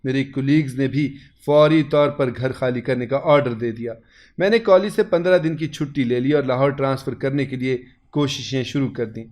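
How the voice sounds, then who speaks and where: Man narrating a dramatic story, medium pitch. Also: moderate pace, darkish tone. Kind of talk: dramatic first-person scripted story narration.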